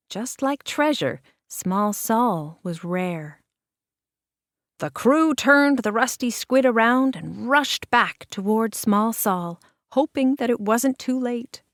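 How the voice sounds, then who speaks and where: A woman reading a children's picture book aloud in an expressive, measured narration with deliberate dramatic pauses. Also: fairly high pitch, no echo, studio quality. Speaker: children's storybook reader.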